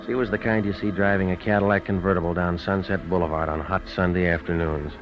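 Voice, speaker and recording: An actor playing a cynical, wisecracking private detective with deadpan, clipped delivery in a 1940s radio noir drama. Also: male voice, low voice, fast talker, close to an everyday voice. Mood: wry narrating. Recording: dull old radio recording with music.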